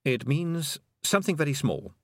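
Guy as a grumpy talking toad from a storybook, dry n resentful, pitch moves around a lot. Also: lively intonation, normal speed, no room sound, natural voice. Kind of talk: audiobook character voice.

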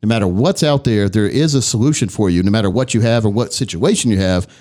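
A middle-aged man hosting a financial radio show, talking in an energetic, folksy, sales-pitch way. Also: fast. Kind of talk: casual radio talk.

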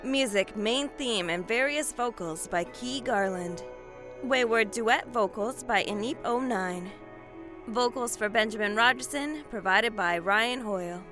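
A woman's voice: on the high side, unhurried, music in the background. A woman announcer giving a scripted reading of the closing credits.

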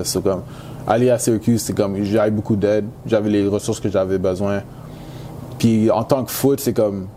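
A man's voice: on the low side, warm tone, a little hiss or hum. A young man from Montreal, a native English speaker with a Quebec accent, chatting casually in an interview and peppering his French with English slang. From Montreal, Quebec, Canada. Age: young adult. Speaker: university football player, interview guest.

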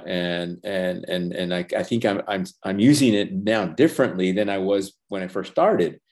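An older man whose first language is Spanish, talking earnestly in a casual interview, halting with many restarts and fillers. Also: low-pitched, darkish tone. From Los Angeles. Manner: earnest, reflective, faith-centered. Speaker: animation professor and former feature animator, guest.

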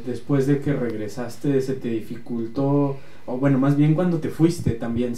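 A man's voice: mid-range voice, mellow, recorded from a distance. A man with a Mexican accent interviewing a guest, asking long, rambling questions with many restarts. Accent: Mexican Spanish. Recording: echoey room, voice set back from the mic.

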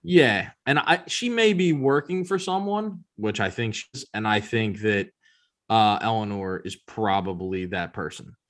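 A man's voice: lowish voice, animated, a little slow, a bit bright. Casual male podcast co-host, informal and opinionated. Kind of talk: casual chat.

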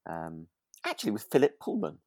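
A British man co-hosting a casual podcast, speaking in a halting, understated way. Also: fairly low pitch, wildly swooping pitch, no echo, clean studio sound. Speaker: podcast co-host.

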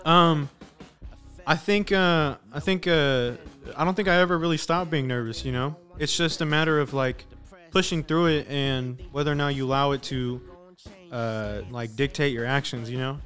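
Casting: young rapper, slightly high voice, easygoing talk with lots of fillers, soft music behind. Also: male voice, steady tone, normal speed. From Sacramento. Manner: laid-back, says "you know" constantly. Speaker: rapper and podcast guest. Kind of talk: casual chat. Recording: music playing in the background.

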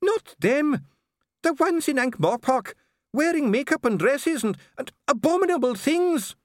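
A man voicing a zealous dwarf who rants in a shrill, strained voice close to sobbing, in a storybook reading. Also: sing-song, a little slow, no room sound, heavily acted. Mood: ranting.

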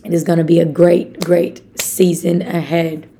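A young woman with a raspy voice hosts her motivational podcast, chatty and upbeat and talking to her listeners like friends. Her voice sits a little low for a woman. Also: level tone, measured pace, warm tone. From Arkansas, USA. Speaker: podcast host.

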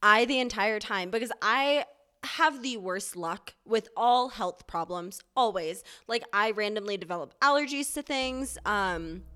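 A woman's voice: medium pitch, a little slow, bright, forceful tone, clean tone. A young woman co-hosting a podcast, chatting casually and telling stories full of "like" and "um" while she is sick and congested. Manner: chatty, storytelling with lots of likes and ums. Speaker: podcast co-host. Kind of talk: casual chat.

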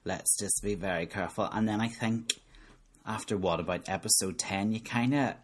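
A man's voice: fairly low pitch, moderate pace. Northern irish guy in his 30s, podcast cohost just chatting, jokey and takes the mick out of himself. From Northern Ireland. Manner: jokey, self-mocking banter. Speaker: podcast co-host. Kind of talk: casual chat.

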